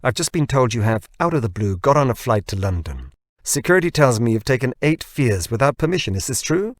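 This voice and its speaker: A man's voice: mid-range voice, sing-song, brisk, no echo, lightly acted. Audiobook role: volatile male company founder, acted by the narrator, urgent, staccato, wheedling, dry studio sound. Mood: agitated.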